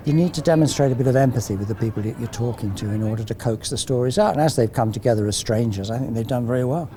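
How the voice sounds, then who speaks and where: A man's voice: mid-range voice, warm tone, a little hiss or hum. A man speaking as a project facilitator in a documentary interview, earnest and explanatory.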